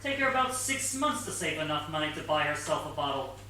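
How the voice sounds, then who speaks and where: A very echoey stage recording from a distance carries an actor as an eager junior male detective. He is bright and sharp, slightly high for a man, and explains things with earnest comic deliberation. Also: sing-song, brisk, a little hiss or hum. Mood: knowing, analytical. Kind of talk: comic stage play acting. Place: theatre stage, picked up from a distance.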